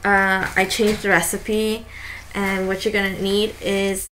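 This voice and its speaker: A woman's voice: mid-range voice, little pitch movement, unhurried, fairly bright tone, slight background noise, low-quality recording. A young woman in her twenties casually talking through a baking recipe in her home kitchen, with lots of fillers and restarts. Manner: chatty, with frequent fillers and restarts.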